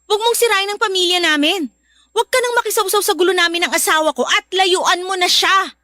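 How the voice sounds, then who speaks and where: A woman's voice: very high voice, fairly quick, bright, forceful tone, no room sound, studio quality. A woman acting an emphatic, theatrical female character in a Filipino radio drama. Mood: indignant, pleading. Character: indignant estranged wife, pleading fiercely; also teasing coworker.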